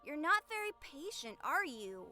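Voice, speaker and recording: A woman's voice: high-pitched, big pitch swings, moderate pace, pushed and bright, faint music behind, exaggerated voice. A woman voicing a small, playful child with blunt curiosity and simple, giggly childlike talk in an audio drama. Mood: playful, teasing.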